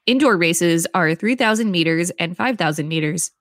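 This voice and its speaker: A woman's voice: fairly low pitch, expressive, normal speed, fairly bright tone, no echo, clean studio sound. A woman co-hosting and reading an encyclopedia article aloud in a scripted narration style, with brief casual asides. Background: faint guitar music.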